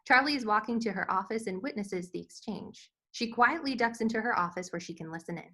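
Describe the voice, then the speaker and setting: A woman narrates screenplay action lines. Her voice is very bright and sits at an average pitch, with a scripted reading style suited to stage directions. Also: expressive, brisk.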